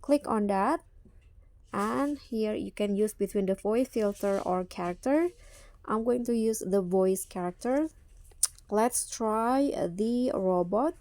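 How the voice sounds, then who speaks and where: A woman's voice: medium pitch, takes it slowly, mellow, no room sound. A woman hosting a tutorial, giving casual step-by-step narration. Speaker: tutorial host.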